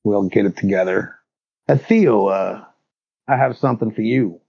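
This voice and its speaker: A man's voice: fairly low pitch, normal speed, vocal fry, mellow, slightly rough, dry recording, lo-fi sound. A man playing a tabletop game, joking between his character's lines. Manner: joking, laughing. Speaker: player.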